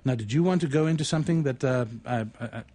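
A man's voice: medium pitch, fast, warm tone. A man who is a poet and co-host, talking and reciting in a reflective, theatrical manner with florid wording. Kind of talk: casual chat and recited poetry.

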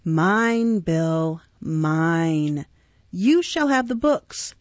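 A woman's voice: sits low, animated, very slow, no room sound, exaggerated voice. A woman reading an audiobook, voicing a sly old male thief master who talks in a fawning, mock-humble, oily way, on a dull, dry recording. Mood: protesting.

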